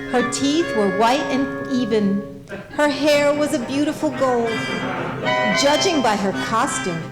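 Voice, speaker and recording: A woman reading scripted comic narration as the storyteller of a staged reading. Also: sits high. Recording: roomy, echoing live recording.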